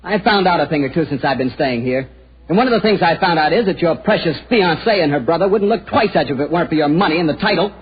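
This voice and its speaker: An actor playing a bitter, aggressive American heir in 1940s radio style, sarcastic and taunting. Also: male voice, fairly high pitch, quick pace, a little hiss or hum, natural voice. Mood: defiant, taunting. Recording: muffled old radio recording.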